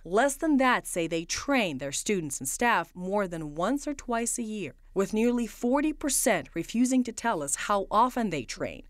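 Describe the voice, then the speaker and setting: A woman's voice: medium pitch, big pitch swings, moderate pace, few pauses, dry recording, studio quality. A woman news reporter delivering scripted narration for a broadcast story.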